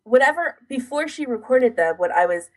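A woman podcast co-host with a husky, slightly rough voice. She talks in a casual, informal way with lots of "like", as in a chat between hobbyists. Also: sits high, animated, moderate pace. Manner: informal, frequent "like" as filler.

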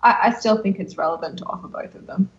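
A woman's voice: medium pitch, quick pace. A woman co-hosting a podcast in casual conversation, heard on a roomy, dull recording. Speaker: podcast host. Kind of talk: casual chat discussion.

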